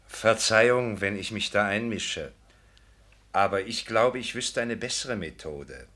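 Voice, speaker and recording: Wealthy gentleman suitor, radio play. Low-pitched, crisp-edged forcefulness, polite, formal manner. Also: unhurried. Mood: polite, earnest. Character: courteous wealthy ironworks owner, self-deprecating gentleman suitor. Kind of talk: German radio play acting.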